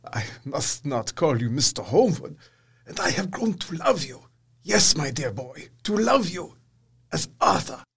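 Casting: older professor for period audio drama, raspy and creaky, widely swinging intonation, earnest and grave. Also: male voice, fairly high pitch, very animated, a little slow, a bit bright, exaggerated voice. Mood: affectionate. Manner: solemn, emphatic, formal foreign-sounding phrasing.

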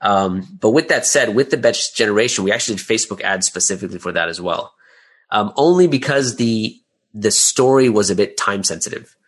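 A man's voice: fairly low pitch, expressive, a little fast, breathy edge. A man answering questions as an interview guest in a conversational, chatty way, often checking in with "right?" and "you know". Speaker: interview guest, audio drama creator.

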